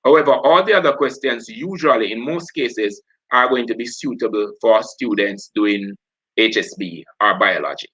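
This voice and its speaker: Bright-voiced Jamaican man teaching live. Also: fairly low pitch, normal speed, slightly rough, low-quality recording. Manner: talks while working through papers, self-correcting. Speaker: teacher hosting a live revision stream. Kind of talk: live online exam revision.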